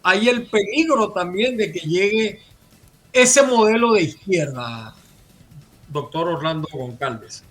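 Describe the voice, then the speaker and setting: A man's voice: high voice, lively intonation, slow, a bit bright, faint music behind. A man from Venezuela hosting a political talk show, formal and broadcast-like as he greets listeners and questions a guest. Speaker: host.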